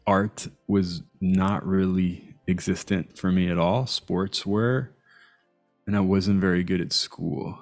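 Man, interview guest, very deep voice, gravelly, thoughtful, faint music. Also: steady tone, slow pace, a little mellow. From Iran, raised in Canada. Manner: thoughtful, searching, hesitant phrasing. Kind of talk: reflective conversation.

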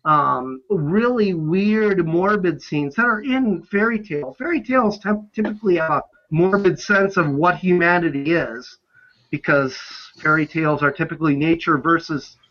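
A man's voice: on the high side, lively intonation, normal speed, lo-fi sound. A man talking casually on a film panel, rambling with a fan's passionate enthusiasm. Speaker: podcast panelist on films.

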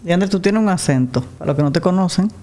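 A man's voice: sits high, expressive, fast talker, dark tone. A man hosting a podcast, asking chatty, jokey follow-up questions in a casual interview. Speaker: podcast host.

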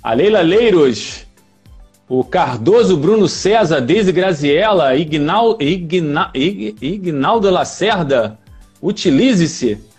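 A man's voice: a little high, lively intonation, slow, a little mellow, breathy edge, faint music behind. A man hosting a podcast, introducing his guest with enthusiastic, effusive praise. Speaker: podcast host.

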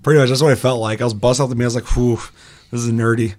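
A young man with a hoarse, raspy voice, hosting a casual gaming podcast with chatty, self-deprecating humor. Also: mid-range voice, fairly even intonation, rapid-fire, a little mellow. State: hoarse, recovering lost voice. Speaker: podcast host.

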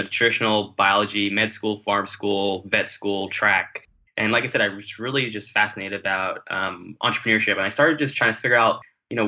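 A man's voice: on the low side, sharp tone. A young man from Jamaica, a podcast guest chatting casually about his path into tech, with lots of "like" and "you know" fillers. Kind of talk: casual interview chat. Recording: dull lo-fi audio.

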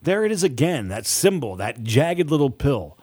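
A man's voice: medium pitch, very animated, normal speed, darkish tone, husky. A man reading a scripted audiobook story as its author and narrator.

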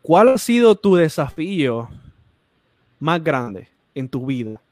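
A man's voice: on the high side, very animated, slow pace, darkish tone. Preachy Spanish-speaking man host. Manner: preachy, repeats phrases for emphasis.

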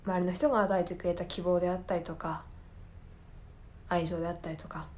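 A woman hosting a podcast in a reflective solo talk, speaking earnestly and often pausing to search for her words. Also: fairly low pitch, steady tone, darkish tone. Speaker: podcast host. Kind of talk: reflective solo talk, personal film commentary.

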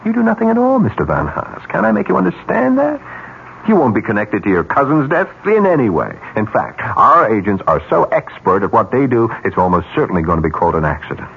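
An actor playing a smooth, calculating broker of arranged murders in a 1970s radio drama, persuasive and businesslike. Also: male voice, mid-range voice, huge pitch swings, a little fast. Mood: coolly negotiating. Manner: businesslike, persuasive, coolly insinuating. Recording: muffled, noisy 1970s radio broadcast.

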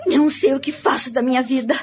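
A woman's voice: high-pitched, fairly even intonation, quick pace, natural voice. An actress in a radio drama playing a desperate young mother who pleads tearfully. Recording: muffled radio play with music.

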